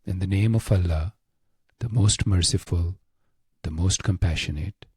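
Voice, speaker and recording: A man leads a guided meditation in a low, soft-edged, mellow voice. He speaks very slowly and deliberately, with a hushed, solemn delivery and long pauses. The sound is close and dry. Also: husky, dry recording. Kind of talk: slow scripted guided meditation.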